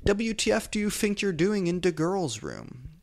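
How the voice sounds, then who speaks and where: A melodramatic teenage goth girl, as a male reader plays her, angry and indignant. Her lines are pushed up in pitch and overwrought, in the manner of a comic story reading. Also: sing-song, moderate pace, vocal fry, exaggerated voice. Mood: shocked, outraged.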